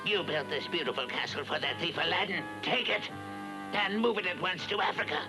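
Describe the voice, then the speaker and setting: An actor playing a scheming, domineering wicked sorcerer in a children's storybook drama, with theatrical, commanding delivery. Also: male voice, high-pitched, fairly quick, lightly acted. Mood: gloating. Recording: loud music behind the voice.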